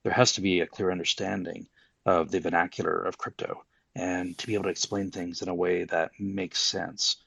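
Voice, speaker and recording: Gravelly low-voiced man, slightly muffled. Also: little pitch movement, moderate pace, fairly bright tone, no room sound. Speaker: host of a crypto audio discussion. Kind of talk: casual group discussion. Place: live online audio room, close and dry. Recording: slightly dull online audio, dry.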